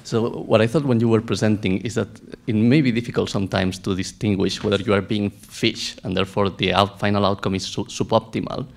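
A man with a Spanish accent asks a question from the audience of a lecture hall. His voice is on the low side, and he speaks hesitantly with light amusement. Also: steady tone, brisk, creaky, hardly any pauses, a little hiss or hum. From Spain. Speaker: audience member asking a question. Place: large lecture theatre, indoors.

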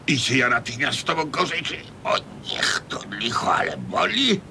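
Gravelly, grumbling old husband, Podlasie accent. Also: male voice, lively intonation, moderate pace, sharp tone, full character voice. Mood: pained, stubborn. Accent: Podlasie, eastern Polish. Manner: groaning, grumbling. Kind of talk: radio drama acting in rural dialect. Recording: rumbly, noisy radio recording.

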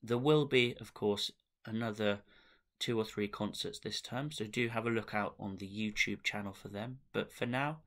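A middle-aged British man giving a semi-formal thank-you address to camera, speaking in measured phrases with pauses. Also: lowish voice, a bit bright. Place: small indoor room with bookshelves, at home. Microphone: webcam at desk distance.

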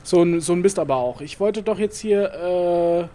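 A man talks his way through a game in a loose, rambling let's-play style. His fairly high voice is full of fillers and asides muttered to himself. A faint noise sits under the recording. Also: moderate pace, mellow. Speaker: gaming YouTuber. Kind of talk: casual let's-play commentary.

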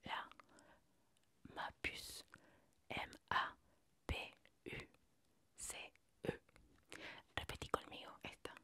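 A woman whispering softly through a lesson, slow and patient, spelling words out letter by letter. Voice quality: soft whispering. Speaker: French teacher. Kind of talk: whispered language lesson, ASMR.

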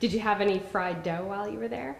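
A woman's voice: medium pitch, quick pace, vocal fry, slight background noise. A woman interviewing visiting students off camera, asking friendly, encouraging follow-up questions. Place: school library, off camera. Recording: roomy library echo.

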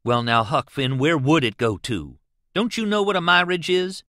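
A man's voice: a little high, lively intonation, moderate pace, bright, forceful tone, no echo, clean studio sound, voice pushed up. A man voicing a scornful, argumentative adolescent boy, lecturing emphatically in an audiobook. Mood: smug, dismissive. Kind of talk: audiobook character voice.

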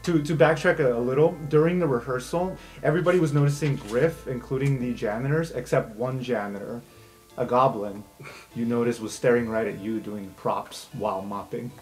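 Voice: medium pitch, moderate pace, a little mellow. A game master narrating an improvised tabletop adventure in an informal, conversational way. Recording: music bed under the voice. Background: background music.

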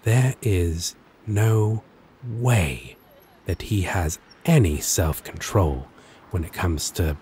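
A man's voice: low-pitched, animated, darkish tone, a little hiss or hum. A man playing a flirtatious, possessive demon lover in an intimate, teasing ASMR roleplay. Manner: slow, teasing, chuckling drawl.

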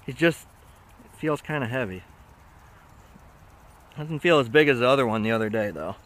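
A man's voice: medium pitch, expressive, moderate pace, fairly bright tone. A man vlogging as he fishes, chatting casually in slangy exclamations to himself and a companion. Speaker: vlogger fishing from shore. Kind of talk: casual excited fishing chat. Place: outdoors on a riverbank. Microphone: action camera worn on the body. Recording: outdoor action camera with clear background noise.

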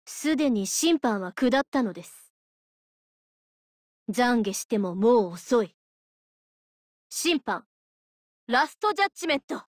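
A woman's voice: a little high, sing-song, no echo, clean studio sound, heavily acted. A woman voicing a stern but caring female judge of the underworld for a Japanese mobile game, formal, polite and given to lecturing. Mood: stern, judging. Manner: formal, polite, measured, preachy. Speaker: voice actress.

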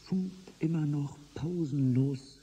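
An actor playing a calm, reassuring historian and physicist in a German science-fiction radio drama, speaking in a measured, explanatory way. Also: male voice, on the high side, slightly rough, soft background music, full character voice, poor audio.

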